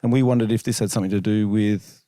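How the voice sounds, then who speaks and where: An Australian-accented man answering interview questions casually, with measured, hesitant phrasing and dry humour. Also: lowish voice, steady tone, mellow. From Melbourne, Australia. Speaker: interview guest, chiropractor and researcher.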